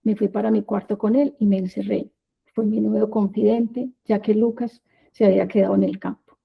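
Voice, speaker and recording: A woman in her fifties with a Medellín Colombian accent, telling her life story as a prepared talk in measured, short phrases. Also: medium pitch, flat delivery, gravelly, breathy edge, phone-quality sound. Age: 50s.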